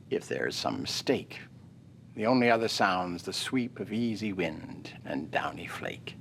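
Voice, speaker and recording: A man giving a dramatic poetry reading in a measured way, often dropping to a hush at line ends. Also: low-pitched, gravelly, a little hiss or hum.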